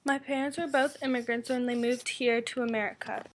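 A young woman reads a scripted narration in a plain, even delivery. Her voice sits a little high, with faint clicks in the background. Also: fairly quick, a little hiss or hum, rough recording. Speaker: student narrator.

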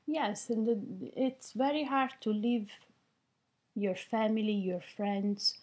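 A woman's voice: medium pitch, takes it slowly, darkish tone, slightly rough. A woman, thoughtfully describing her own experience and searching for her words. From Italy. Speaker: interviewee, immigrant parent. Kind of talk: reflective personal account.

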